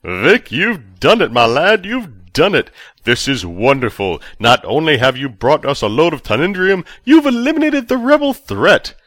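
A giddy, fawning chancellor, put on by a man reading a story, his voice pushed up high and loud. He gushes each word slowly and floridly, with wide swoops of pitch. The sound is dry and close. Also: big pitch swings, no echo. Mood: gushing. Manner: gushing, drawn-out delivery.

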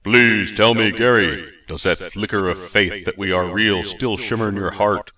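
A man puts on a pint-sized male god with a booming, formal, archaic manner, louder than his plain reading. The voice sits low and sounds set back from the mic. It is a storybook deity who still talks as if from a throne. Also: normal speed, recorded from a distance. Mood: pleading. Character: tiny pompous male god, grandly formal.

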